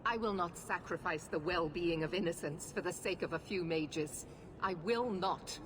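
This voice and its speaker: An actress playing a stern, unyielding knight commander, with a commanding, severe and formal delivery in a fantasy game. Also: female voice, fairly low pitch, normal speed, background noise, natural voice. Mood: firm, defiant. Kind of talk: dramatic fantasy video game acting.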